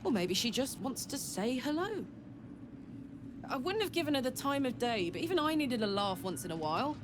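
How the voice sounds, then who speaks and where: A woman's voice: high voice, very animated, moderate pace, music in the background. An actress playing a tough, blunt female fighter in a video game, with a wry, sardonic manner. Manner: wry, blunt, casual swearing. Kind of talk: video game character dialogue. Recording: constant noisy ambience behind voice.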